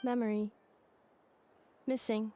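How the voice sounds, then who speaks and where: A woman's voice: on the high side, flat delivery, slow, dark tone, a little hiss or hum. A woman voicing an emotionless robot messenger in a video game, speaking in clipped, detached fragments. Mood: flat, mechanical. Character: emotionless female robot messenger with missing memories.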